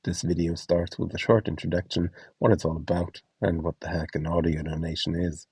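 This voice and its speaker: A man giving a scripted explainer narration as a host, instructing clearly step by step. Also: low-pitched, little pitch movement, fairly quick, darkish tone, dry recording, far from the mic. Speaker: host and instructor of a voice donation project.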